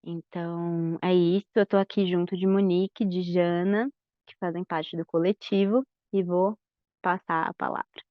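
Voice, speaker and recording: A woman's voice: fairly low pitch, steady tone, moderate pace, no echo. Brazilian woman kicking off a talk, informal, dry and kinda dull lo-fi sound. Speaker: host opening the talk. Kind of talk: informal spoken introduction. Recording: dull, lo-fi audio.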